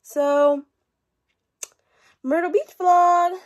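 A woman's voice: very high voice, fairly even intonation. A young woman, chatting casually into her phone from her bedroom while stuffy from a runny nose. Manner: chatty, rambling, quick asides. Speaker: vlogger. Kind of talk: casual vlog chat. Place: bedroom at home. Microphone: phone held low at arm's length.

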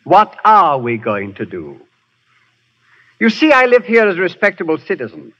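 A polished spy chief posing as a respectable professor, speaking in a smooth and quietly menacing way. His voice sits a little high and moves freely. The sound is muffled, like a 1930s radio broadcast. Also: huge pitch swings, normal speed, heavily acted. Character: suave sinister male professor, spy chief. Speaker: villain. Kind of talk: 1930s radio drama acting.

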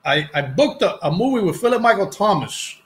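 A man in his forties with a Cuban accent, telling stories about his acting career in a casual video-call interview, animated and often restarting his sentences. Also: high-pitched, fairly quick. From Cuban family. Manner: animated, acts out quoted voices, restarts sentences. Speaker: actor, interview guest. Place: home room, video call. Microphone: headset.

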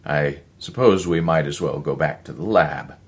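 A man's voice: a bass voice, normal speed. A man voicing a grim male scientist in a story, speaking in a grave, explanatory manner.

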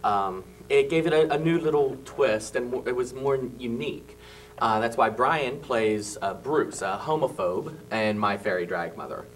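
A young man talking as a guest on a small local TV studio show, halting with many fillers and small laughs, into a handheld mic. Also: medium pitch, animated, far from the mic, slight background noise, poor audio. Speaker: cast member guest. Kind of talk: casual studio chat. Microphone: handheld mic held by host.

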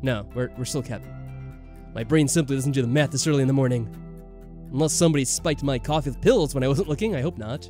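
A man's voice: mid-range voice, quick pace, darkish tone. A man giving casual, jokey gameplay commentary and reading dialogue aloud in a musing way. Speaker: let's play host. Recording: music under the voice. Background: game music.

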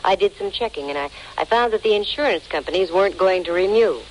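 A woman's voice: medium pitch, lively intonation, quick pace, close to an everyday voice, rough recording. An actress playing a breezy female private detective with dry, slangy wisecracks, in a 1940s radio drama. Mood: wry, matter-of-fact. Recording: hissy old 1940s radio recording.

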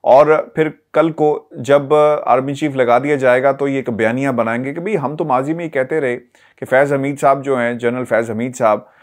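A man in his forties with a Pakistani accent, delivering earnest, deliberate political commentary to camera with rhetorical emphasis. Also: medium pitch, steady tone. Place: small indoor studio, seated. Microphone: lapel mic.

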